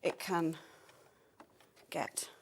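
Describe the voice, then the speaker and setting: A woman's voice: very slow, a little hiss or hum. A woman demonstrating a sewing technique on a live studio show. She explains steps practically and mutters to herself as she works. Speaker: sewing presenter.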